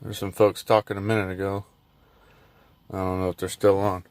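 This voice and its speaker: A man giving a casual, unscripted how-to vlog in a laid-back, folksy, halting way. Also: sits low, little pitch movement, darkish tone, slight background noise. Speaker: hobbyist vlogger showing his truck radio install. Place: in and around a pickup truck in a driveway.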